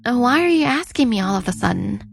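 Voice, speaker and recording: A woman's voice: on the high side, expressive, quick pace, vocal fry, faint music behind. A woman acting and narrating as a weary but outspoken wife in her thirties in a dubbed story. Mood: doubtful, indignant. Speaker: narrator and lead character.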